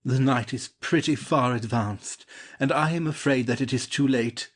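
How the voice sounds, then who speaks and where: An actor playing a polite, cautious gentleman lover in a classic comedy audio play, speaking formally and with measure. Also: medium pitch, moderate pace, natural voice. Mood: measured, patient.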